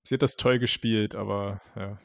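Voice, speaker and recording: A man's voice: lowish voice, steady tone, normal speed, gravelly, dry recording, clean studio sound. A man co-hosting a podcast, talking about a series in a thoughtful, somewhat hesitant way, with a little laughter. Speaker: podcast co-host. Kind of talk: casual chat reviewing a series.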